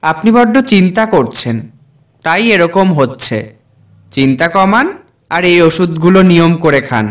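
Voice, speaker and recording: A man's voice: a little high, lively intonation, moderate pace, lightly acted. A man playing a kind, earnest village doctor who narrates a dramatic cartoon ghost story in the first person. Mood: concerned, dutiful. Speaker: narrator and lead character.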